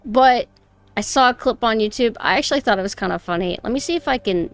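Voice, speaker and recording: A young woman with a high voice, chatting casually and snarkily on a stream, her close mic clipping on loud peaks. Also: animated, fast talker, soft background music. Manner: chatty, rambling, mockingly snarky. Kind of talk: casual reaction stream chat. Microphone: close mic that clips loud peaks.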